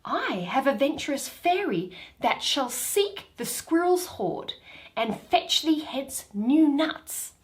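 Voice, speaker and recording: A woman acts a fairy queen besotted with her beloved in a verse stage comedy. Her voice is fairly high and moves slowly, tender and adoring, with wide swings of pitch. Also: sing-song, exaggerated voice. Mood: tender, enraptured. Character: Titania, doting, lovestruck fairy queen.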